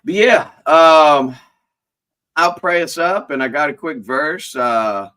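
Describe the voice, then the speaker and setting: A man's voice: a little high, slow pace, bright, forceful tone. A man hosting a casual live chat and leading a prayer, talking in a chatty, informal, rambling way while sniffling a bit. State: sniffling, stuffy. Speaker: host of a business owners' live chat.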